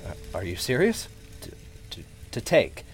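A man playing a grieving widower and recovering alcoholic in a radio play, stammering and halting with emotion. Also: on the low side, wildly swooping pitch, faint music behind. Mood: humbled, grateful.

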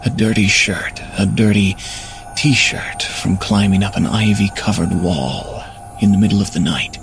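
A man's voice: on the low side, a little slow, creaky, music in the background, rough recording. A man playing a troubled husband, narrating a horror story in a brooding, dramatic manner. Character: troubled husband and investigator telling his downfall.

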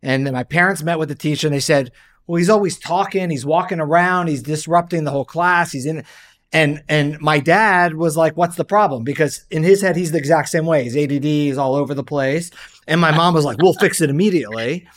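A man's voice: a little high, brisk. A man who co-hosts as a doctor, telling a childhood story in a casual chat. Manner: storytelling, animated anecdotes. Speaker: doctor and co-host.

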